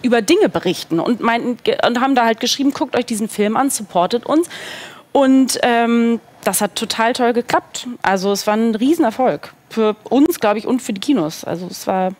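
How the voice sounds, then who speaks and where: Woman in her 30s, kinda high voice, casual interview answers, throws in english bits. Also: expressive, normal speed, darkish tone. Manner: informal, sprinkled with English phrases. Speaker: talk show guest, film distributor. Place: indoor talk studio with seated group. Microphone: headset.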